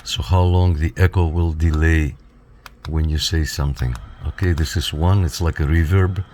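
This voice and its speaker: A man giving a casual, hands-on product walkthrough, explaining step by step and often checking in with a quick okay. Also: very deep voice, fairly even intonation, a little mellow, slight background noise. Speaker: radio reviewer, demonstrator.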